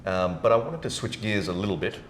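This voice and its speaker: A man hosting a podcast, asking winding, hesitant questions in a conversational style with repeated words, recorded in a roomy space. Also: fairly quick. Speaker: podcast host. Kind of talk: conversational interviewing. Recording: roomy echo, noticeable rumbling background noise.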